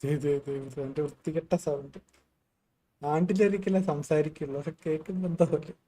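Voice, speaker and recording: A woman's voice: sits low, rapid-fire, mellow. A woman with a Malayali accent reacting casually to a game as a live streamer. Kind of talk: casual gameplay reaction commentary. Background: game music and dialogue.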